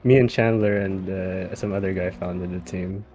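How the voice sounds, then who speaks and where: A man's voice: low voice, steady tone, normal speed, dark tone, faint music behind. A man from Vancouver, chatting casually and a little hesitantly as an interviewed driver. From Vancouver, British Columbia, Canada. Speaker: sim racing driver being interviewed. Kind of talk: casual chat.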